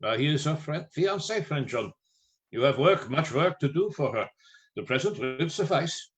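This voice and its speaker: A man's voice: fairly high pitch, expressive, moderate pace, lightly acted. A man acting in a radio play as an older professor, a learned foreign doctor who speaks formally and gives grave, urgent orders. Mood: firm. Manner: imperative, formal, solemn phrasing.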